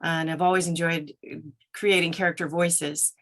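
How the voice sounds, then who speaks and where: A woman's voice: fairly low pitch, little pitch movement, moderate pace, a bit bright. A middle-aged woman who narrates audiobooks, answering interview questions conversationally as a podcast guest.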